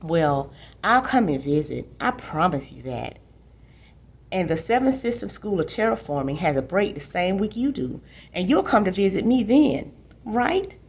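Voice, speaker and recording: A woman's voice: mid-range voice, expressive, normal speed, darkish tone, slightly rough. Woman reading as an earnest, determined young girl. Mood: reassuring. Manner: earnest, reassuring, a little defensive. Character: determined young girl, earnest big sister, aspiring terraformer.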